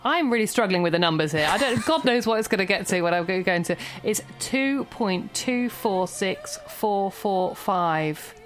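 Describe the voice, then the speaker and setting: A woman's voice: medium pitch, lively intonation, normal speed, hardly any pauses, soft background music. A woman presenting hospital radio, chatty and laughing, tripping over numbers. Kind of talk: casual radio chat. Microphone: studio mic with feedback.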